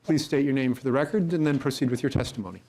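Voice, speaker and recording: Man, committee chair, polite, procedural, calls witnesses, mid pitch. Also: darkish tone. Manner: polite, procedural, quick asides. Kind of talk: chairing a hearing. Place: legislative committee hearing room.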